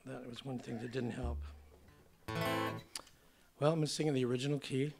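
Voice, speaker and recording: An older man, slightly hoarse, introducing his song in casual, rambling stage talk with many fillers. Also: medium pitch, fairly even intonation, normal speed, over loud music. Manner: rambling, filler-heavy, chatty. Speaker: singer-songwriter. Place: theater stage at a museum event.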